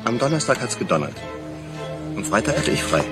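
An actor playing a mild man who reasons hesitantly and deliberately in a children's film. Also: medium pitch, sing-song, natural voice. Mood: pondering, realizing. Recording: film excerpt with loud music behind.

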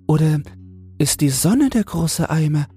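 A man's voice: a little high, expressive, measured pace, warm tone, breathy edge, faint music behind, voice pushed up, exaggerated voice. A man voicing a small, naive female toad full of yearning and wonder in a fairy tale, earnest and exclaiming. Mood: restless longing. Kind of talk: fairy tale audiobook character voice.

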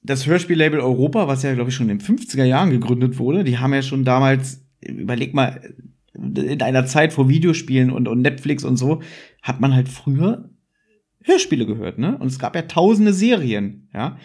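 A man's voice: medium pitch, expressive, brisk, darkish tone, breathy edge. Man chatting as a podcast host. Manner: discursive, adds asides and tag questions. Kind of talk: casual podcast chat, explaining.

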